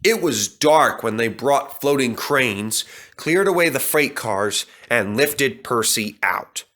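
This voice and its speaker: A man's voice: medium pitch, lively intonation, slow, bright, forceful tone. A man narrating a children's storybook as a scripted read-aloud.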